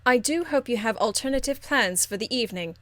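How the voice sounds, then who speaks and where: A woman performs an aristocratic female mage in a fantasy video game. She speaks formally and with measured condescension, icily polite, in a fairly high voice with a close, dry sound. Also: lively intonation, a bit bright, no room sound. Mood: poised menace. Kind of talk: video game character acting.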